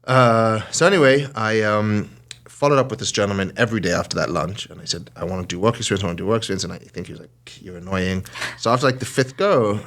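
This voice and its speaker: A South African-born man in his early forties with a British accent, a hotel and casino CEO telling his story in an animated, conversational way and acting out quoted lines. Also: lowish voice, quick pace. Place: small podcast studio.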